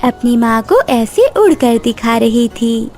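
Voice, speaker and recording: A woman's voice: a little high, animated, takes it slowly, over music. A woman narrating a scripted children's cartoon story as its storyteller.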